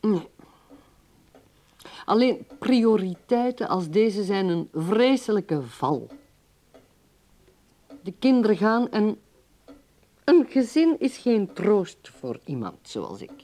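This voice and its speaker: Embittered, driven career mother in a radio play: intense, unhurried, very expressive intonation. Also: medium pitch. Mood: insistent, wistful. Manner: intense, dramatic, swinging between speech and whispered brooding. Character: bitter, ambitious middle-aged career mother who drinks. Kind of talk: radio drama acting, dialogue and inner monologue.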